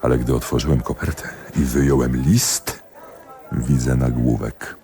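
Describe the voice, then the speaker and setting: An actor in a radio drama playing a jealous husband and magistrate, confiding ruefully and emphatically to a friend. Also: male voice, a bass voice, unhurried, warm tone. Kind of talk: theatrical radio drama monologue.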